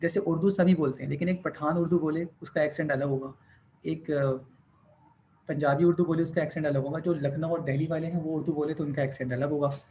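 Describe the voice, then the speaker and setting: Man, classroom teacher, slow deliberate dictation, fairly high voice, faint background noise. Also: steady tone, dark tone. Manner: mixes English dictation with Hindi-Urdu explanation, slow and deliberate when dictating. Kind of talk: classroom lecture, dictating notes.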